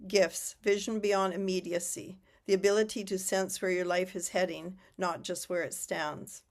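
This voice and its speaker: A woman's voice: lowish voice, fairly even intonation, moderate pace. Woman reading aloud, voice still recovering. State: voice not fully recovered after losing it. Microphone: video call.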